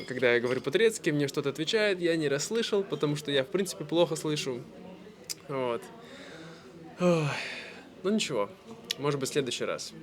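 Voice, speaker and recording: A man from Russia vlogging casually to camera, speaking simply and clearly for language learners with easy fillers. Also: fairly high pitch. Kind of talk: casual vlog talk to camera.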